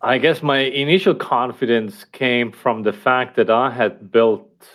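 Podcast guest, male, Estonian native, reflective and halting, mid-range voice. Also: medium pitch, fairly even intonation, fairly bright tone. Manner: thoughtful, hesitant with fillers and restarts. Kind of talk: casual interview talk.

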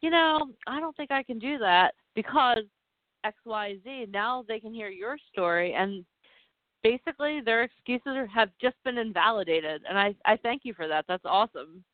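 A woman's voice: fairly high pitch, animated, normal speed, no room sound. A woman with a Philadelphian accent interviewing a guest for a podcast in an encouraging, chatty way, with lots of fillers. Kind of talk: casual interview. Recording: dry, phone-like sound.

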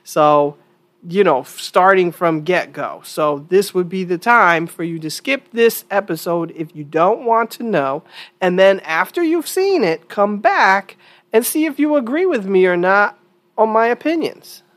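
A woman's voice: mid-range voice, lively intonation, measured pace. A woman hosting her own opinion podcast in a casual, chatty monologue, sounding stuffy from a cold and repeating points for emphasis. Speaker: podcast host.

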